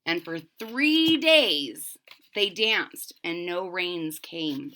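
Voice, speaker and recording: Woman doing a kids read aloud, slow and bright, explains bits as she goes. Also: lowish voice, animated. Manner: deliberate, explanatory, addressing young listeners. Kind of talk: expressive children's story read-aloud with explanatory asides.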